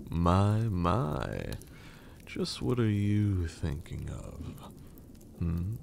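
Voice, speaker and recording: A man playing a haughty, teasing gentleman vampire in an intimate ASMR roleplay, speaking formally in a breathy half-whisper with condescending endearments. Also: low voice, very animated, very slow, pauses often, slight background noise, heavily acted. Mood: teasing, amused.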